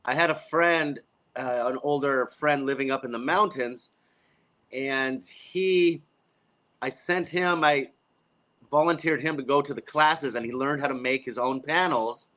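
A man's voice: medium pitch, a little slow, pushed and bright. A man co-hosting a casual chat, telling a rambling story with plenty of restarts.

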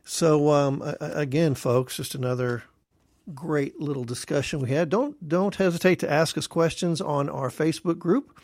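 A man's voice: fairly high pitch, normal speed, darkish tone, dry recording, studio quality. A man co-hosting a podcast, talking in a casual, folksy way and addressing listeners as folks. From Texas. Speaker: podcast co-host.

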